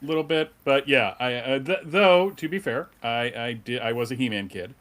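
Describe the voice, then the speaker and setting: A man's voice: medium pitch, normal speed, fairly bright tone. A man in his fifties with a mid-range voice speaks as a panel guest. He is casual and wry, mocking himself, and stammers into some sentences. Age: 50s. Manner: wry, self-deprecating, stammering starts. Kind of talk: casual self-introduction.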